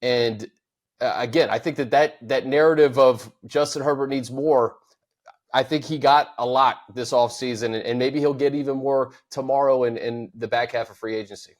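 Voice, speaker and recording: A man hosting a sports talk show, laying out his points in a steady, methodical way. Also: medium pitch, normal speed. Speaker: host.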